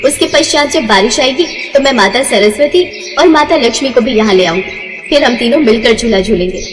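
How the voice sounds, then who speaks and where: A woman voicing a kind, eager young goddess in girl's disguise, gentle, coaxing and cheerful, in a devotional story. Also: fairly high pitch, expressive, normal speed, roomy sound, in a large hall, lightly acted. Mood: delighted.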